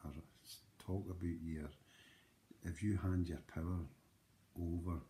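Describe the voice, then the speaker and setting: Slow, very deep-voiced Scottish man in his forties. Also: steady tone, dark tone. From Scotland. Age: 40s. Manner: slow, emphatic, with frequent "right?" asides. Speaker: channel host. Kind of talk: earnest motivational talk to camera. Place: small room indoors. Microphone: webcam or phone close up.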